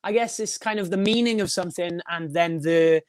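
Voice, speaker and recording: Young guy, high voice, rambly musician chatting, dry close sound. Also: brisk, clear, resonant tone, no room sound. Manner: rambling, many restarts and fillers, laughs often. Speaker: guest, band frontman and vocalist. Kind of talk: casual podcast interview.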